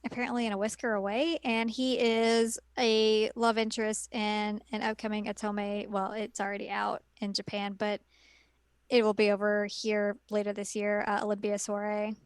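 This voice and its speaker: A woman co-hosting a podcast in casual chat, upbeat and chatty with quick reactions. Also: medium pitch, level tone, clear, resonant tone, dry recording. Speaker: podcast co-host.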